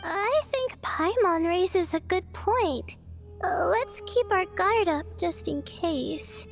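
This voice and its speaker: A woman voicing a gentle, studious, hesitant young girl scholar in a video game, speaking thoughtfully. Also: very high voice, expressive, slow pace, full character voice. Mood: cautious. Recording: music behind the voice.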